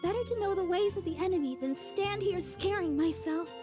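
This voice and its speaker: A woman voicing a sweet, gentle young idol in a game cutscene, soft and high-voiced, timid and stammering. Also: brisk, music in the background. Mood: steadied.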